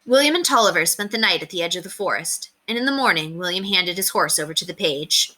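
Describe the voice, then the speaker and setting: A woman reading a children's book aloud as an expressive storyteller. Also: fairly low pitch, fast.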